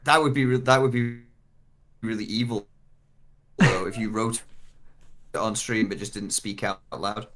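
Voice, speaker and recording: A man's voice: fairly low pitch, steady tone, unhurried, pauses often, very noisy. A man joining a live stream as a guest, bantering casually and playfully. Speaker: guest, writer and voice actor.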